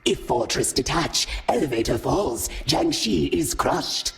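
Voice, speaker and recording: A man's voice: a little high, animated, vocal fry, a bit bright, soft background music, exaggerated voice. A man voicing a terse alien informant in a video game, speaking in clipped, broken phrases. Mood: grave, terse. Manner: clipped, halting, broken grammar. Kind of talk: video game cutscene dialogue.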